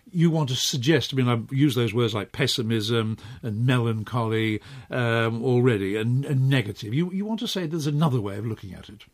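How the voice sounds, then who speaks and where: A man hosts a talk radio show from a studio, speaking in a measured, articulate way. His intonation rises and falls freely. The sound is close and dry, with no room echo. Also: mid-range voice. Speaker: radio host. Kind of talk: scripted radio presenting and interviewing. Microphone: studio microphone.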